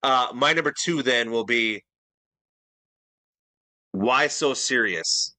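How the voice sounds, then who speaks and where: Bright-voiced man, defending his list. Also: medium pitch, slow, no echo. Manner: defensive, rambling, many restarts. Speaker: podcast co-host. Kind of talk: casual debate chat.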